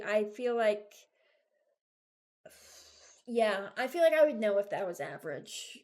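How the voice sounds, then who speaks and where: A young adult woman giving casual, unscripted reaction commentary, hesitant and thinking out loud. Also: medium pitch. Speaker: video creator ranking game voice lines.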